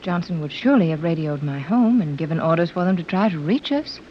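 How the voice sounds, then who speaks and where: A woman's voice: lowish voice, lively intonation, fairly quick, close to an everyday voice. A woman playing a composed but concerned mother leading explorers in a 1930s radio serial. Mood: earnest, composed. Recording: muffled 1930s radio recording, light hiss.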